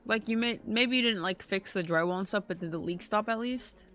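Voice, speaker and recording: A woman's voice: medium pitch, fairly quick, a bit bright. A woman chatting casually and slangily on a game livestream. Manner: slangy, informal. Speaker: game streamer. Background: game music.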